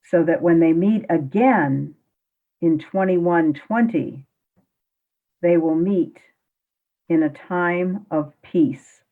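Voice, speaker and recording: A woman narrating a radio play in a calm, deliberate storytelling manner. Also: lowish voice. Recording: tinny phone-like audio.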